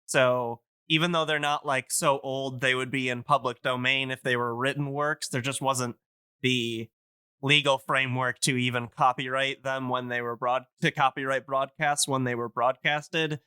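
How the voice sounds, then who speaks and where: Bright, clear-voiced male podcast guest. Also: mid-range voice, steady tone, normal speed, dry recording, studio quality. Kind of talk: casual explanatory chat.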